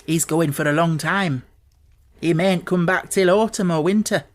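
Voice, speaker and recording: A man's voice: on the high side, moderate pace, natural voice. A man voicing a cheerful, plain-spoken young Yorkshire housemaid in an audiobook, chatting in broad, homely dialect. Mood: explaining, earnest.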